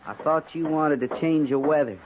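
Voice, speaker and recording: High-voiced, needling American cavalry sergeant played by a man. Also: natural voice. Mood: mocking. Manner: teasing, drawn-out sarcasm. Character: wry, needling cavalry sergeant baiting his trooper. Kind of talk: 1950s American western radio drama acting. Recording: muffled, noisy vintage radio recording.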